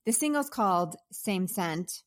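A woman co-hosts a podcast and opens the episode in a bright, upbeat way. She moves through the lineup briskly and keeps the tone easy and casual. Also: mid-range voice, animated. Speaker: podcast co-host.

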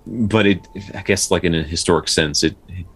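A man's voice: low-pitched, husky. A man talking casually and explaining folklore as a podcast co-host, often stumbling and repeating words as he goes. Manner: halting, with repeated words and stutters. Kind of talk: casual explanatory discussion of folktales.

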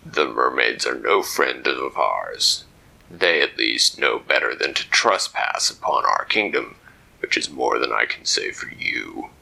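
A man's voice: very deep voice, sing-song, unhurried, creaky, sharp tone, breathy edge, pitched below the performer's own voice, full character voice, rough recording. A man voicing a stern male lobster creature who guards an undersea kingdom, speaking in a curt, formal and challenging way. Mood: cold, haughty.

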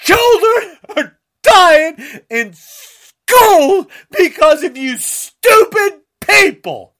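A man from the United States, crying and shouting through an angry rant. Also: very high voice, big pitch swings, drawn-out words. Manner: shouting, tearful, ranting. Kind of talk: angry political rant to camera.

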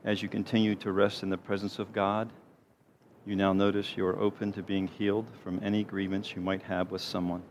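Low-voiced man leading a gentle guided meditation. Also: level tone. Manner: gentle, unhurried, soothing delivery with pauses. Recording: clear background noise, low rumble.

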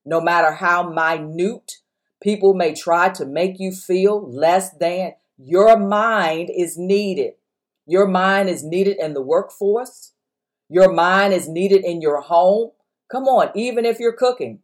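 A woman's voice: on the low side, takes it slowly. Strong-voiced woman preaching. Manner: strong voice, exhorting, repeating phrases. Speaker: pastor. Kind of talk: sermon podcast.

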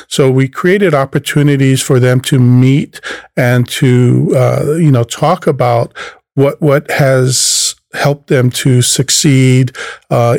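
A man who leads a nonprofit program, answering interview questions in a halting way with many fillers and restarts. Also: mid-range voice, darkish tone, dry recording, studio quality. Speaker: nonprofit program leader, guest.

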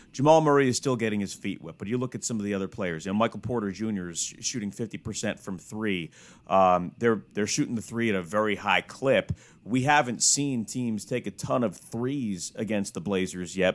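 Lowish-voiced man in sports chat. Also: a little fast. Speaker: sports studio host. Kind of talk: casual sports analysis chat.

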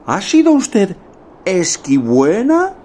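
A man doing a high, slow, silly Wise Man. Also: wildly swooping pitch, dark tone, slight background noise, exaggerated voice. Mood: puzzled. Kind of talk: comic radio play acting.

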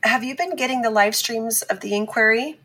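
Firm, deliberate female pundit for a podcast drama, earnest with a rough-edged husky tone. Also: fairly high pitch, fairly bright tone. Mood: firm, pressing. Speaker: talk-show commentator. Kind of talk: scripted fiction podcast acting.

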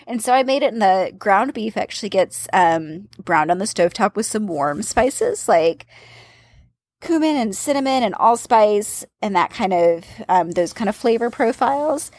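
A woman's voice: medium pitch, expressive, normal speed, darkish tone, no room sound. A woman chatting casually, walking through a recipe step by step. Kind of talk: casual chat about cookbooks.

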